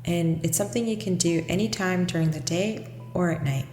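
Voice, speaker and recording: A woman leading a guided meditation, speaking in a gentle, unhurried, instructional way with long pauses between phrases. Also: fairly low pitch. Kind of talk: guided meditation, slow soothing scripted narration. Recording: echoey long reverb with music underneath. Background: music.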